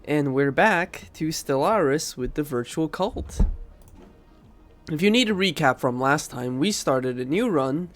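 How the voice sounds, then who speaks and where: A man's voice: on the high side, lively intonation, measured pace, faint music behind. A man with a stuffy nose from a cold, giving casual commentary while playing a strategy game and thinking aloud as he goes. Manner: thinking aloud, rambling, self-correcting. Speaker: game streamer.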